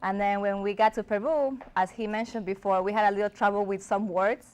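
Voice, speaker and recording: Smooth-voiced young woman, native Spanish speaker. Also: medium pitch, steady tone, fairly quick, a little mellow. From Ecuador. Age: young adult. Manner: chatty, many "like" fillers. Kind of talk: casual studio interview answers. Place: TV studio.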